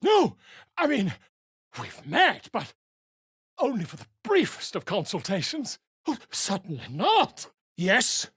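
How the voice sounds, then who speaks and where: An actor playing a pompous, self-important male bard and author in a fantasy video game, speaking in florid, grandiose phrases with a husky voice. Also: high-pitched, wildly swooping pitch, slow, a bit bright, dry recording, studio quality, full character voice. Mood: chatty, self-assured. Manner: florid, grandiose, wordy delivery. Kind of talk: theatrical fantasy game dialogue.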